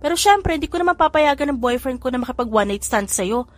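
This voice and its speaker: A woman voicing an excitable, gossipy young woman friend who talks in gushing exclamations. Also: high-pitched, a little fast. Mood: confiding.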